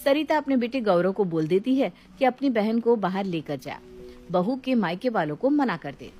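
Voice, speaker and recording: A woman narrates a scripted moral story as storyteller. Her voice has a medium pitch, and music plays behind it. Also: expressive, moderate pace, dark tone.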